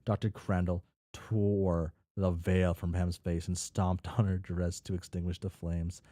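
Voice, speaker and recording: A man with a low, soft-edged voice reads a story aloud in a casual way. He trips over words, repeats them and slips in his own sweary asides. The sound is dry, with very little room in it. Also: little pitch movement, measured pace, dry recording. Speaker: reader reacting to a fan fiction. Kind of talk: casual read-aloud with off-the-cuff commentary.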